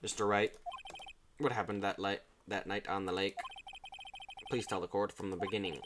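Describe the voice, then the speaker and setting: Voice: low-pitched, steady tone, normal speed, background noise, pitched below the performer's own voice, close to an everyday voice. A game streamer voicing a stern old male judge in a courtroom video game, formal, ponderous and easily baffled. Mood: solemn. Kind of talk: visual novel game read-aloud.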